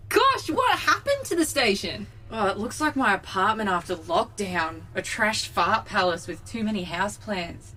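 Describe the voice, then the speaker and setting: An animated female voice for an excitable space crew member in a sci-fi comedy. She is chatty and jokey, full of exclamations, with faint noise behind. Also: mid-range voice, a little slow. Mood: amazed, amused. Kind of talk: audio comedy drama acting.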